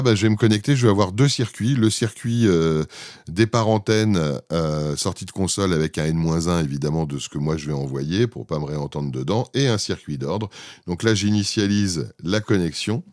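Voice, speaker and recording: A man's voice: sits low, darkish tone, no echo. A man talks through a screen demo. He explains informally and improvises, often feeling for the next word. The recording is close and dry. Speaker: presenter demonstrating his own software.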